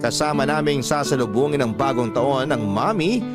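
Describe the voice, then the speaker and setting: A man's voice: medium pitch, normal speed. A man with a Filipino accent narrating a scripted personal story as a radio-style host. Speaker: host reading out a listener's story. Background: music.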